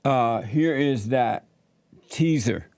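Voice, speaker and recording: A man's voice: medium pitch, slow pace, a little mellow, no room sound, clean studio sound. A man filling in as host of a call-in talk show, chatting casually and breaking off into tangents with callers. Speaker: fill-in radio show host.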